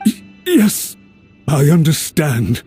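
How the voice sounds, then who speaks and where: A man's voice: a little high, sing-song, takes it slowly, mellow, faint music behind. A man voicing a humble, anxious official in a video game, deferential and apologetic as he pleads with his superior. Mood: meek, grateful. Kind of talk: scripted game dialogue acting.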